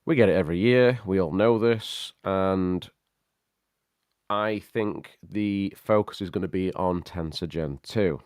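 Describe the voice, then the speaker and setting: A man hosting a casual tech livestream, chatty and greeting viewers by name, his voice a little croaky from a cold. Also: low voice, a little slow, a little mellow, dry recording, clean studio sound. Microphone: studio microphone.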